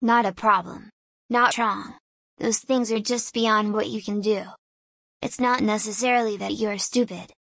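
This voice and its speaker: A woman's voice: mid-range voice, fairly even intonation, creaky, a bit bright, no room sound. Woman musing aloud, dry sound. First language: Hungarian. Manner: deliberate, philosophical, digressive. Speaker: small business owner. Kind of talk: reflective solo monologue.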